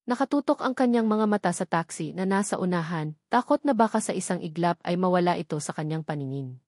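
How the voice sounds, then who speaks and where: A woman's voice: on the low side, lively intonation, a little fast, a little mellow, no echo. Filipino woman narrating drama, dry close sound. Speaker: story narrator. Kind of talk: dramatic scripted narration of a romance story.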